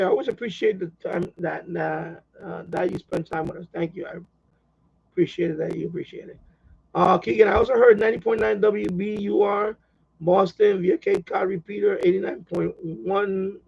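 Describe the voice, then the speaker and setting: A man's voice: high-pitched, vocal fry, darkish tone, husky, highs cut off. A man hosting a hobby live stream, chatting casually in a rambling way and often repeating himself.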